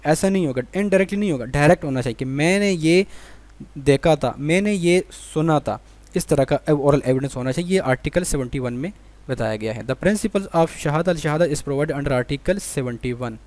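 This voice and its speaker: A man's voice: on the high side, moderate pace, darkish tone, dry recording. A man with a Pakistani accent teaching an exam-prep law lesson, reading out items and then explaining them with rhetorical questions and repetition. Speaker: law lecturer.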